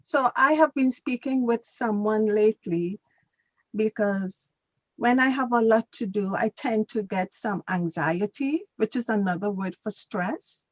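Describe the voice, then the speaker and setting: A woman's voice: mid-range voice, a little slow, no echo, lo-fi sound. A woman working as an English teacher, tutoring in a patient, conversational way and repeating phrases to explain.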